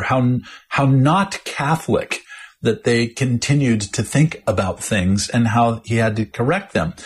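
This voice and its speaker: Husky man explaining ideas thoughtfully. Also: medium pitch. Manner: thoughtful, explanatory, with frequent restarts. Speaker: podcast co-host. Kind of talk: casual theological discussion.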